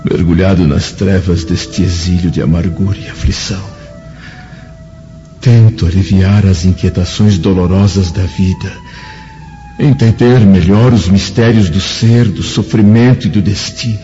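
A man's voice: sits low, measured pace, dark tone. A man playing a proud, tormented Roman senator in a radio drama, with a grave, sighing, anguished delivery. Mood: anguished, remorseful. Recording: dull lo-fi, music behind voice.

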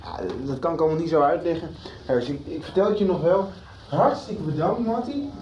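Actress as guarded, uneasy teen in radio soap; low-pitched, soft-edged, slightly dull recording. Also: female voice, expressive, a little fast, a crowd in the background, lightly acted. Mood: evasive, preoccupied. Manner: evasive, hesitant. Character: secretive, anxious teenage schoolchild. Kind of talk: Dutch radio soap acting.

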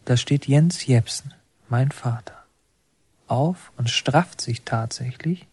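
An actor playing a sullen young delinquent, narrating intensely and curtly in a radio drama. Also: male voice, mid-range voice, takes it slowly, darkish tone, slightly rough, no echo. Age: young adult. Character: sullen young male delinquent recounting his father.

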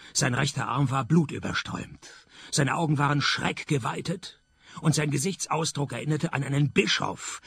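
Noir-comedy radio detective and narrator: dry, deadpan sarcasm, strong vocal fry. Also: male voice, medium pitch, dry recording, full character voice. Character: sardonic, deadpan male private detective narrating his case.

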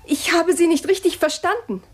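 Nervous newlywed wife, tense, questioning, high-pitched. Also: female voice, moderate pace. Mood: anxious. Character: anxious young newlywed wife, nervous, suspicious. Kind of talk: German radio drama acting.

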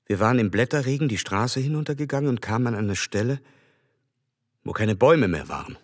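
An actor playing a wealthy, lovesick, guarded gentleman in a German radio drama. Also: mid-range voice, animated, a little fast, lightly acted. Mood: wistful. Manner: old-fashioned gentlemanly address. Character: wealthy, lovesick, guarded man clinging to the past.

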